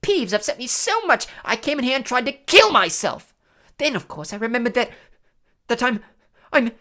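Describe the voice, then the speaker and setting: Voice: mid-range voice, huge pitch swings, unhurried, vocal fry, pushed and bright, slightly rough, pitched above the performer's own voice, exaggerated voice. A narrator voicing a melodramatic, self-pitying ghost of a girl with a tearful wail, in an audiobook story. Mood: dramatic. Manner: tearful, wailing, dramatic.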